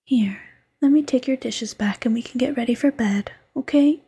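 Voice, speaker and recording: A woman voicing a doting, teasing fox girlfriend who mothers her partner, in soft coaxing whispered roleplay. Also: fairly high pitch, moderate pace, husky.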